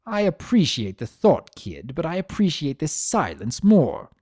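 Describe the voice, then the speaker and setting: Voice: mid-range voice, very animated, a little slow, darkish tone, no room sound, studio quality, pitched above the performer's own voice. A performer voicing a wry, grumbling male spirit of chaos, sarcastic and theatrical, telling a story in the first person. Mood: exasperated. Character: Discord, sardonic, grumbling male spirit of chaos turned statue.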